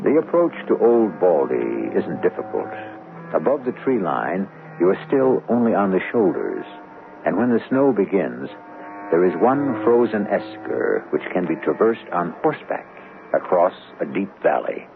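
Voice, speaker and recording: A man hosting a radio drama, delivering grave, deliberate scripted narration. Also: deep voice, big pitch swings, gravelly, heavily acted. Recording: vintage radio recording, phone-like, music behind.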